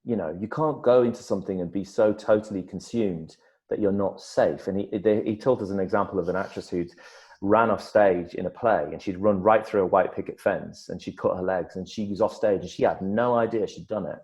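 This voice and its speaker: Low-voiced animated male storyteller. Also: fairly quick. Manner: animated storyteller, many restarts and repeated words. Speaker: actor guest. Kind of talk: casual interview chat.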